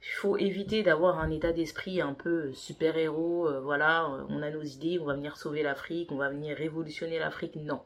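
A woman's voice: low voice, steady tone, a little fast, few pauses. Hesitant woman in her thirties. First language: Yoruba. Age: 30s. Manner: hesitant, many fillers, earnest. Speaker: podcast guest, business consultant. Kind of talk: conversational interview answers.

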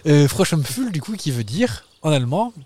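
A man's voice: on the high side, big pitch swings, moderate pace, a little mellow, slight background noise. Playful laughing male podcast host. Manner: playful, teasing, laughs often. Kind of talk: casual chat. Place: outdoors on a town square.

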